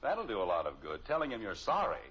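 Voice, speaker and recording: A man's voice: on the low side, wildly swooping pitch, fast talker. A man playing an exasperated, easily flustered middle-aged suburban father in 1950s radio comedy style, sputtering and sarcastic. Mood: exasperated disbelief. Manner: sputtering, sarcastic, long-suffering. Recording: muffled old radio recording, faint hiss.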